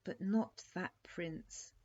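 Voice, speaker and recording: A sensitive little storybook princess played by a woman speaks emphatically, with childish dignity. Her voice is pitched high, on a dull-sounding recording. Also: drawn-out words, mellow, husky, heavily acted. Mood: sad.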